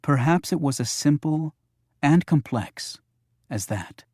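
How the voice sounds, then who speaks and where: A man's voice: a little high, animated, slow, darkish tone, no room sound. Male audiobook narrator, dry close recording. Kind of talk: scripted narration of a thriller audiobook.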